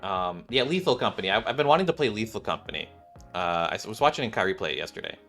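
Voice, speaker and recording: A man's voice: fairly low pitch, big pitch swings, fast talker, sharp tone. A man streaming a video game, chatting casually and muttering to himself in a rambling way as he plays. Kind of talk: casual live stream chat over gameplay. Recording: game music playing behind the voice. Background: video game music.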